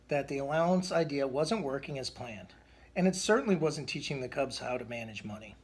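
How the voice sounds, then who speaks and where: A man reading a children's picture book aloud in an expressive way, with the odd stumble. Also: a little high. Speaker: storybook reader.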